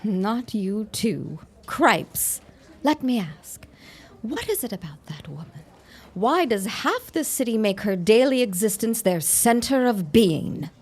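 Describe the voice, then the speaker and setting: A woman's voice: mid-range voice, big pitch swings, slow, slightly rough, heavily acted. Woman playing a curt, sardonic 1910s office secretary. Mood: irritated, sardonic. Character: Helen Kidwell, brusque flirtatious 1910s office secretary, world-weary. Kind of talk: period audio drama acting.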